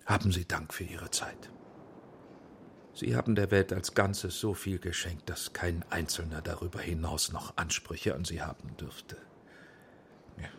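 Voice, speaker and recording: A man's voice: deep voice, fairly even intonation, unhurried, gravelly, fairly bright tone, a little hiss or hum, exaggerated voice. An actor playing a troubled, courteous middle-aged film director in a radio drama, speaking formally and with restraint, half under his breath. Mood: resigned courtesy.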